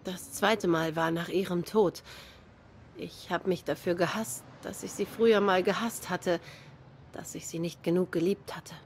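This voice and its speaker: A woman's voice: on the low side, steady tone, measured pace, slight background noise, lightly acted. An actress playing a grieving young cat woman in a noir game, guarded, bitter and sardonic in a subdued way. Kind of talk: noir game dialogue acting.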